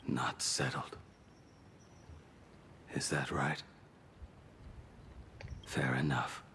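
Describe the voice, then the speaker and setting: Extremely deep, stoic weary swordsman. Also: drawn-out words, a little hiss or hum, exaggerated voice. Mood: grave. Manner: terse, grave, deadpan. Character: stoic, world-weary ageless swordsman resigned to his fate. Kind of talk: video game voice acting.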